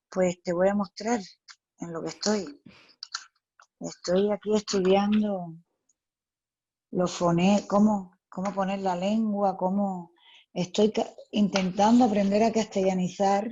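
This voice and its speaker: A woman with a Cuban Spanish accent, answering interview questions thoughtfully with many pauses and fillers. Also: mid-range voice, dark tone, dull audio. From Cuba. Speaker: actress, interview guest.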